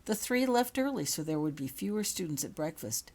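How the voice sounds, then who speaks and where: A woman reads a storybook-style tale aloud. Her voice is low for a woman and has a husky edge. It is scripted narration, told by the author. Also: very animated, fairly quick, a little mellow. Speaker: author reading own fan fiction.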